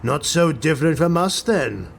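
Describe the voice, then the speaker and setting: A man voicing a commanding dark elf highborn warlord for a fantasy audiobook, with an imperious manner. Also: expressive, moderate pace, gravelly, slight background noise. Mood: wry, appraising. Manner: imperious, barking orders. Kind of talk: fantasy audiobook character acting. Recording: music bed behind the voice.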